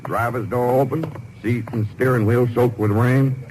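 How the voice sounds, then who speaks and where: An American actor playing a plain-spoken, cooperative country sheriff with a folksy, unhurried manner in a 1950s radio drama. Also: male voice, medium pitch, fairly even intonation, a little mellow, lightly acted, rough recording. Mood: helpful, plain. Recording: old 1950s radio recording, faint noise.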